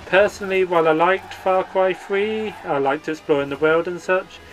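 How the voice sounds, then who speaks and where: Earnest man, slightly high voice. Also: normal speed, low-quality recording. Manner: earnest, upbeat. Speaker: gaming channel host. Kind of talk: scripted channel update.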